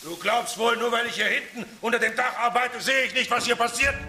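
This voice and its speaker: An actor in a radio play for children playing a cruel, domineering tanner boss who barks orders and threats. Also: male voice, extremely high pitch, fairly quick. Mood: gruff, menacing.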